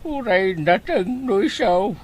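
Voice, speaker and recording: A woman's voice: medium pitch, expressive, slow, darkish tone, husky. A woman playing a frail, long-suffering elderly grandparent in an audio drama, pleading and plaintive. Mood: weary pleading. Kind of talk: Ilocano audio drama acting.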